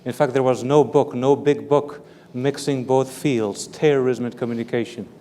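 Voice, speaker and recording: A man from Belgium, a native French speaker, answering interview questions as an author and professor, careful and measured, repeating words as he explains. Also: mid-range voice, steady tone, dark tone. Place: large hall with live audience. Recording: long hall reverb with faint room noise.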